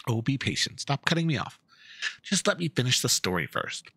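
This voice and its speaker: Casting: man voicing a patient, coaxing storyteller for a game-story reading, husky bright tone, theatrical, over music. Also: medium pitch, big pitch swings, a little fast, no room sound, heavily acted. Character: storyteller patiently coaxing a child with a dragon fairy tale. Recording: music behind the voice.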